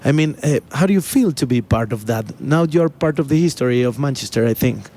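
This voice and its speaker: A man's voice: mid-range voice, expressive, brisk, warm tone. A man interviewing a band, hesitant and searching for words, with non-native phrasing. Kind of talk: interview questions.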